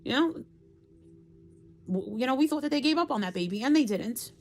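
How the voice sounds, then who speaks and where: A woman streamer commenting on the news in rambling, off-the-cuff talk, halting and repeating herself for emphasis. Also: high-pitched, lively intonation, a little mellow, soft background music.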